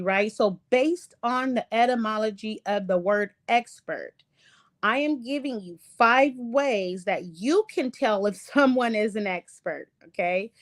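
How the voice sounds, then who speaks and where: A woman hosting an online lesson, reading from slides in a halting way with many ums and restarts; English is not her first language. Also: mid-range voice, dry recording. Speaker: online show host. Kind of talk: casual lecture reading from slides.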